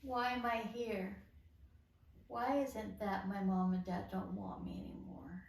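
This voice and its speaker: Woman reading as sad orphan girl, creaky voice. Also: mid-range voice, a little slow. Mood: sad, questioning. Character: sad abandoned fourteen-year-old orphan girl. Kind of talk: amateur musical table read. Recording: roomy, distant mic, faint noise.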